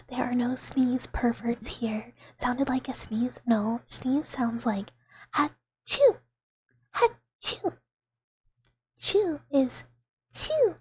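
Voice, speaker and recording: Breathy whispering young woman streamer. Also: lively intonation, extremely slow, pauses often, no echo. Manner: soft whispering, giggly, playful squeaky outbursts. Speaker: VTuber streamer. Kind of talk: whispered ASMR livestream chat.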